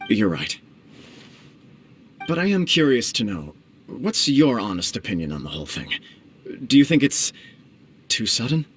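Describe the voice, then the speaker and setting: A man's voice: mid-range voice, sing-song, measured pace, pauses often, a bit bright. A man voicing a modest, dutiful young samurai commissioner in a video game, with a formal, humble and sincere manner. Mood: earnest. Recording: noisy ambient game backdrop.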